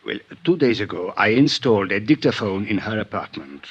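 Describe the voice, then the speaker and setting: An actor playing an urbane, sly French police inspector in a 1950s radio drama, suave and wry with ornate politeness and a French accent. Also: male voice, lowish voice, expressive, brisk, breathy edge. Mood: slyly amused. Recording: dull vintage radio recording, slightly muffled.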